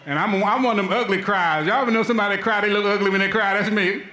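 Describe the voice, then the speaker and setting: A man preaching a sermon, telling a comic story with shouted cries and playful asides to the congregation. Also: high-pitched, rapid-fire. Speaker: pastor. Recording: long reverb of a large hall.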